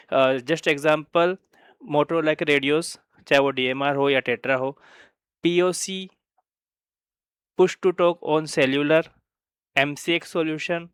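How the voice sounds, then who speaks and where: Clear-voiced Indian man presenting, slightly high pitch. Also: a bit bright, dry recording, studio quality. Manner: hesitant, mixes in English phrases, checks in with tag words. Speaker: company presenter of wireless communication products. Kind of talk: informal explanatory product presentation.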